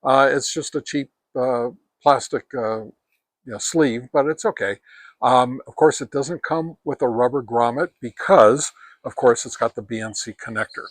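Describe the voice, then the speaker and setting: An older American man giving a casual, unhurried product review, explaining things step by step. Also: medium pitch. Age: older, 60s or above.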